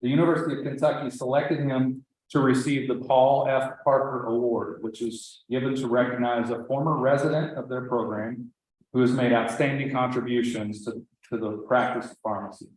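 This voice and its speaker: A man's voice: medium pitch, fairly even intonation, normal speed, a little mellow. A man, an associate dean, reading out an award introduction at a banquet ceremony, with friendly asides and small restarts. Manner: reads a long citation, with asides and restarts. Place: large banquet hall. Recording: very echoey hall through PA.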